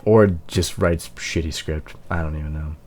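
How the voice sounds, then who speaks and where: A man's voice: rumbling low, moderate pace, darkish tone. A man hosting with casual, self-mocking commentary, irreverent and sweary. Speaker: host.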